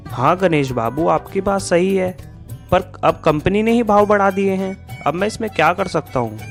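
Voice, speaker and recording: A man voices a humble milkman from a cartoon moral tale. He is earnest and deferential, with a slightly high male voice, and music plays behind him. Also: animated, moderate pace. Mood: pleading. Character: honest, polite milkman, meek and earnest man. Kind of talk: Hindi moral-story cartoon dialogue.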